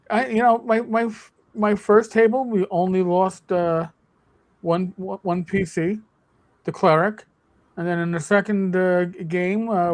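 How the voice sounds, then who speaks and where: High-voiced man chatting casually. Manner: rambling, frequent restarts and laughs. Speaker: game master and channel host. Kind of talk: casual podcast chat.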